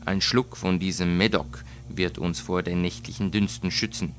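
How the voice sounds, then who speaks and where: Man playing a low-voiced, courteous, scheming nobleman. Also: steady tone, fairly bright tone, slight background noise. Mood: feigned concern. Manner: courteous, insistent, measured. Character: cunning avenging nobleman feigning polite concern.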